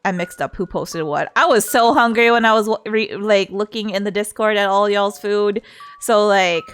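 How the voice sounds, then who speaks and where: Woman streamer just chatting, kinda giggly and playful, rambles along, medium pitch. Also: moderate pace, fairly bright tone, smooth, soft background music. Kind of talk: casual livestream chat.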